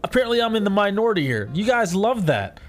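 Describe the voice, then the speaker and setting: Raspy man, slightly high voice, blunt and opinionated, swears a lot, casual chat, faint music behind. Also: very animated, fast. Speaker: co-host.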